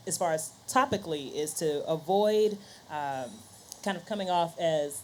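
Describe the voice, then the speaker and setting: Female podcast host, lower register, conversational panel talk with quoted asides, faint event ambience. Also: normal speed, darkish tone, slight background noise.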